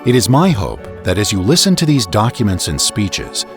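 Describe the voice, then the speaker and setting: An American man with a trained voice-actor delivery, reading scripted historical narration in a measured, deliberate, formal way. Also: lowish voice, sing-song, soft background music. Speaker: podcast host and narrator.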